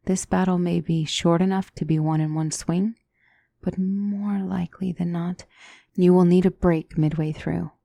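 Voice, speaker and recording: A woman playing a gentle demonic tutor in a scripted ASMR roleplay, speaking softly and deliberately as a calm battle mentor. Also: fairly low pitch, creaky, no echo, studio quality. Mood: calm, instructive. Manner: measured, deliberate, soft-spoken, instructive.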